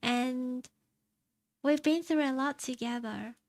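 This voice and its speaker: A young woman from China chatting casually on stream, answering her chat in a soft, rambling way and laughing often. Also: sits high, slow, dry recording. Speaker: VTuber streamer. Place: home streaming setup.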